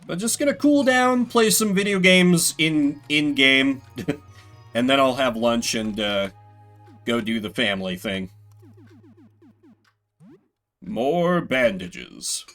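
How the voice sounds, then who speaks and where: A man's voice: mid-range voice, animated, slow pace, fairly bright tone, soft background music. A man playing a video game as a streamer, mixing casual commentary with theatrical readings of the on-screen text.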